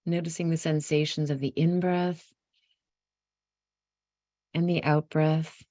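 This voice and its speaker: A woman leading a guided meditation, giving gentle instructions in an unhurried, softly inviting way with pauses mid-phrase. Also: low-pitched, little pitch movement, no echo, highs cut off.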